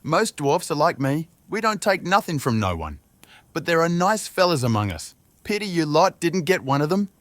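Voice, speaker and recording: A man voicing a loud, grumpy male dwarf smith, brash and coarse in manner. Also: on the high side, sing-song, normal speed, dry recording, voice pushed up. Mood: grouchy.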